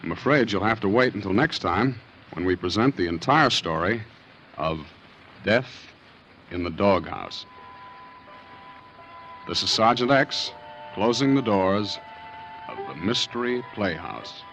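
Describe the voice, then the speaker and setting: A man's voice: fairly low pitch, lively intonation, a little slow, long pauses, fairly bright tone, faint music behind, poor audio. A man playing a sly mystery show host in vintage radio style, wry and conspiratorial. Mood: sly, teasing. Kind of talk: 1940s radio horror hosting.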